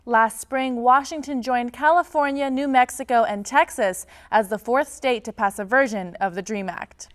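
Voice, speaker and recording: Female news reporter, crisp read, slightly high. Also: normal speed. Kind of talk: scripted news narration.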